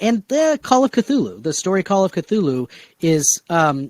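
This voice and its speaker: A man casually brainstorming on a discussion podcast, talking in a halting way with vague, trailing asides. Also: medium pitch, dry recording. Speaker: podcast co-host pitching story ideas.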